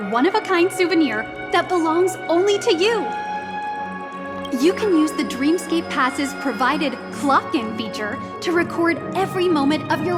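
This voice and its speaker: A woman playing a courteous hotel receptionist in a video game, speaking formally and politely as if serving esteemed guests. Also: high voice. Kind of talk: scripted English video game dialogue. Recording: prominent music behind the voice.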